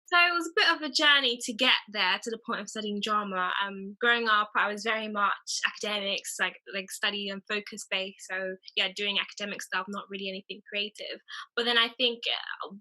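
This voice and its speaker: A young woman with a London accent gives a casual, conversational account as an interview guest. Her voice is strikingly bright and crisp-edged, sitting at an average pitch. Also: a little fast, low-quality recording. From London, England. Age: 20s. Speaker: interview guest, drama graduate.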